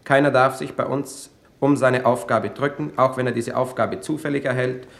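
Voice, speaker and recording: An actor playing a plain, laconic envoy of space colonists in a 1950s German radio play, speaking matter-of-factly. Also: male voice, medium pitch, steady tone, normal speed, gravelly, close to an everyday voice. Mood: calm, matter-of-fact. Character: plain, unruffled male colonist envoy from Venus.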